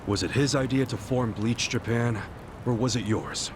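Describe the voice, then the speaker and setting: An actor playing a blunt, mocking, streetwise gangster questioning someone in a video game cutscene. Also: male voice, mid-range voice, moderate pace, noisy background, natural voice. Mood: mocking, probing. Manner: blunt, sarcastic, needling. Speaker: character in a crime drama video game.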